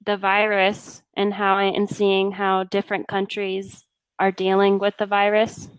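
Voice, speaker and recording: A woman tutoring English over a video call, with a smooth, clear voice. She chats in an easygoing way, full of casual fillers. The sound is dry and close. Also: mid-range voice, monotone, measured pace, no room sound, poor audio. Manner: easygoing and chatty, many fillers like yeah and you know. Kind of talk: casual conversation practice.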